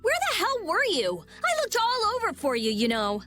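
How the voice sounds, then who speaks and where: A prickly teenage mage from a video game. She is high and highly expressive, blunt and impatient, with faint music underneath. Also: normal speed, full character voice. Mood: irritated. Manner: blunt, snappy, absorbed. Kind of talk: animated video game acting.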